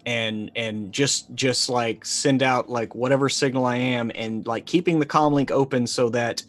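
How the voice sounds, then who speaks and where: A middle-aged man playing a tabletop roleplaying game, improvising casually and haltingly as he thinks aloud. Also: mid-range voice, steady tone.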